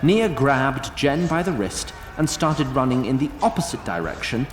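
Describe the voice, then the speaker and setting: Guy narrating a fantasy tale, literary and measured, very expressive pitch, echoey, bit of noise. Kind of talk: scripted fantasy story narration. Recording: roomy sound, faint noise.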